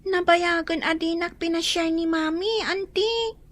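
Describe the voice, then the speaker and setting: Little schoolgirl, very high voice, Filipino accent, plaintive, deferential, radio drama, close dry sound. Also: a little slow, a bit bright, dry recording. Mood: hurt, longing. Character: young schoolgirl, hurt yet dutiful granddaughter.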